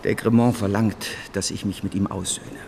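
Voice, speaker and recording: A man's voice: lowish voice, fairly even intonation, normal speed, gravelly, background noise. A man acting in a German radio drama as a driven financier with an urgent, persuasive manner. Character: driven, persuasive male financier promoting a bank venture.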